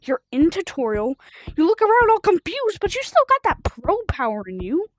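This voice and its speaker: High, animated female game streamer. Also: normal speed. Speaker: livestreamer of a video game. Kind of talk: rambling casual livestream chat while gaming.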